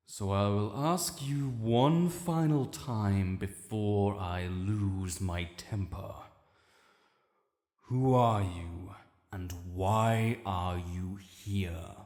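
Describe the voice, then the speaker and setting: A British man voicing an ancient, imperious male dragon in a fantasy audio roleplay, speaking formally and commandingly in a gravelly voice. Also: darkish tone. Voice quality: low, gravelly. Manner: formal, commanding, haughty, deliberate archaic phrasing. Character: ancient, imperious male dragon lord, proud, quick to righteous anger. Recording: cavernous reverb, long echo.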